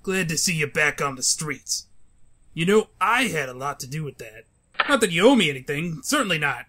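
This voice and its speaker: A man's voice: fairly high pitch, big pitch swings, moderate pace, bright, forceful tone, exaggerated voice. A man playing an uneasy mobster in a comic book dub. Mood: nervous, ingratiating. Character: anxious, pleading male crime boss and mob henchmen.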